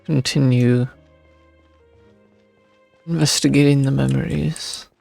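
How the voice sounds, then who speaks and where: A man's voice: mid-range voice, steady tone, slow, gravelly, warm tone, no echo, soft background music. A man giving casual gameplay commentary as he reacts to a game's story. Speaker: gamer reacting to a story quest.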